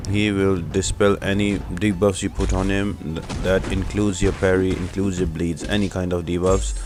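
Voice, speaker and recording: A man's voice: sits low, background noise. A man giving a casual, explanatory gameplay walkthrough as the host of a video game guide. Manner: explanatory, instructional.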